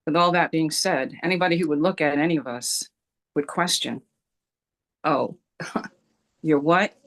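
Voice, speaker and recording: Gravelly-voiced woman from Rhode Island. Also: sits low, fairly even intonation, unhurried, fairly bright tone. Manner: hesitant, many ums and restarts. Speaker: community discussion participant. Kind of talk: casual discussion on a video call.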